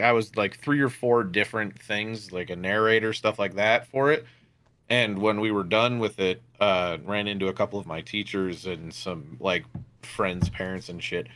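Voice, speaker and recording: A man's voice: lowish voice, fairly even intonation, normal speed, pushed and bright. A young man chatting casually and swearing freely among tabletop players, rambling through a story. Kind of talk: casual chat at a tabletop game.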